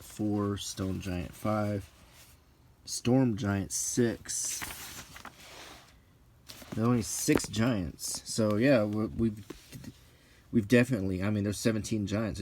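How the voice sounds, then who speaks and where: A middle-aged man talking casually and unscripted in an unhurried, musing way with frequent pauses, like an unintentional ASMR video. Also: lowish voice, a little mellow, a little hiss or hum. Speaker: channel host.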